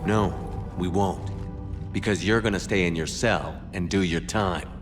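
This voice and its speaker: A gruff, heroic space-military commander from a video game. He speaks low, in terse and firm phrases. Loud music plays behind him. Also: unhurried. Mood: stern.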